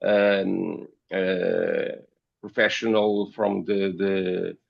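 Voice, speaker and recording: A man from Portugal with a Portuguese accent, giving interview answers as a podcast guest in a halting, hesitant way, often repeating words. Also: sits low, little pitch movement, vocal fry, lo-fi sound. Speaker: podcast guest, company founder.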